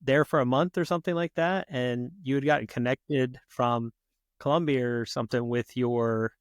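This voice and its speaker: Chatty podcast host, dry close sound. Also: male voice, medium pitch, moderate pace, clear, resonant tone, dry recording. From United States. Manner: chatty, filler-heavy questions. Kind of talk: casual interview.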